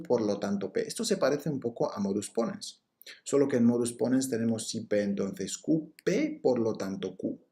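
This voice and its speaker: Hombre de voz grave y rasposa. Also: male voice, fairly low pitch, warm tone. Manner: explanatory, hesitant with frequent fillers and restarts. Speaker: university lecturer. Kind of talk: recorded logic lecture.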